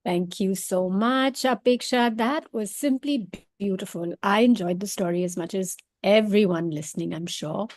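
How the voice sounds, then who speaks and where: A woman hosting an event, giving unscripted, appreciative closing remarks in a halting, hesitant way. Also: mid-range voice, a little mellow, no echo, clean studio sound. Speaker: event host.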